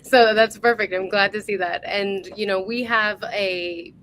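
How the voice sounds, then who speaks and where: A woman's voice: mid-range voice, steady tone, measured pace, a bit bright, slight background noise, low-quality recording. A woman hosting a casual interview over a video call, informal and enthusiastic in the way she talks. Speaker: interview host.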